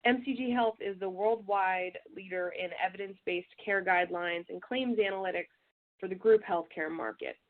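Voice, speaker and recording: A woman walks an audience through a software webinar, her voice a little stuffy from a cold. She talks in a relaxed way and fills gaps with small fillers. The sound is thin and phone-like, as over a web call. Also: medium pitch, normal speed. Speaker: presenter. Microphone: web call audio. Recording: tinny phone-like audio.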